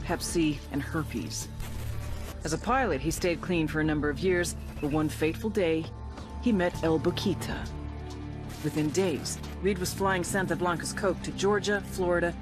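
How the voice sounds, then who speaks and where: A woman's voice: sits low, close to an everyday voice. Woman playing a wry intelligence agent giving briefings. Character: hard-nosed female intelligence agent briefing operatives. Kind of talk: scripted briefing narration.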